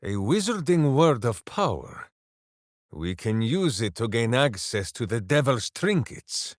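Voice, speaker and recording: A big, simple-souled heroic berserker from a fantasy video game. He is bombastic and good-hearted, with slow, deliberate phrasing that rises and falls dramatically. The recording is close and dry. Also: mid-range voice, big pitch swings, no echo. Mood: gruff, earnest. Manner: bombastic, earnest, talks of himself in third person.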